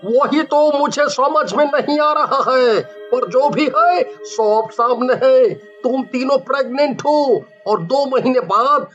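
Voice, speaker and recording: A man voicing an excitable, flabbergasted cartoon doctor with exaggerated, drawn-out exclamations, in a muffled recording. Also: very high-pitched, soft background music, exaggerated voice. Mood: astonished.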